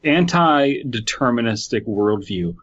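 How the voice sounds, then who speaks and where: A man's voice: mid-range voice, expressive, unhurried, fairly bright tone, muffled recording. A man co-hosting a comedy podcast, rambling in mock-philosophical musings during casual banter. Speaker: podcast co-host.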